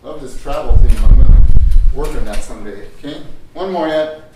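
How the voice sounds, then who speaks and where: An older man leading a teacher workshop, chatty and quick to laugh, his voice a little strained from a cough. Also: mid-range voice, background noise. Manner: chatty, laughs often, restarts. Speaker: teacher trainer. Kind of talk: workshop talk with audience. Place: large echoey room with audience. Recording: very echoey room, voice from far off.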